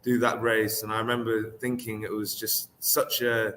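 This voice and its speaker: A young man, a triathlete talking casually as an interview guest, relaxed and hesitant with many fillers. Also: on the low side, moderate pace, big-room sound, a little hiss or hum. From England.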